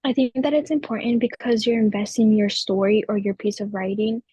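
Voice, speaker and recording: A woman's voice: medium pitch, a little fast, warm tone, slightly rough, no room sound. An eighteen-year-old woman explaining her views earnestly in a student podcast discussion. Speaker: student panelist.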